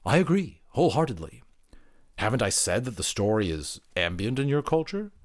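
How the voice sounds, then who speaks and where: A man voicing a big, patient gorilla teacher in an audiobook, speaking in a measured, deliberate, probing way. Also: medium pitch, big pitch swings, natural voice. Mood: probing, insistent. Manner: measured, deliberate, pointedly Socratic. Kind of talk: dramatic audiobook character acting.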